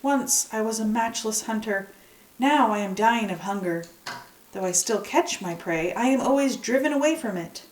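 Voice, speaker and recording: A woman's voice: on the high side, moderate pace, darkish tone, a little hiss or hum, natural voice. A woman voicing a gullible, good-natured male leopard, an earnest and trusting hunter in a children's folktale. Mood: pleading. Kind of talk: children's folktale read-aloud. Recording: echoey room, distant microphone.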